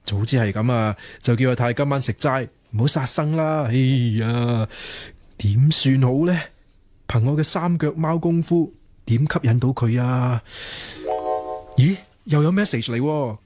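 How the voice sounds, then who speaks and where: A man's voice: mid-range voice, measured pace, a little mellow, dry recording, close to an everyday voice. An actor with a Hong Kong Cantonese accent playing a lovesick, self-doubting young man in a radio drama, theatrical and playfully flowery. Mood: anxious, rueful. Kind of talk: radio drama acting with comic inner monologue.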